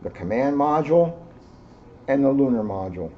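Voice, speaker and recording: A man in his sixties, a police officer reading aloud to children and commenting informally in an earnest, expressive way. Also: medium pitch, normal speed. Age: 60s. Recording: muffled, noisy amateur video sound.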